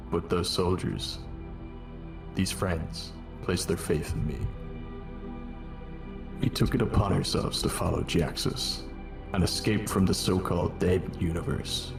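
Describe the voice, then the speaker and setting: A man voicing a weary, noble robot war commander in a cartoon fan dub, grave, measured and earnest. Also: low voice, creaky. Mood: grave, resolute. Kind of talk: dramatic first-person narration in a cartoon fan dub.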